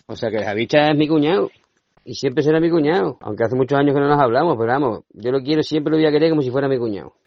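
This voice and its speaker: A man's voice: medium pitch, fast, dry recording, lightly acted. A man voicing a laid-back punk drummer who chats casually and fondly, recorded muffled from a distance. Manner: casual slangy chat.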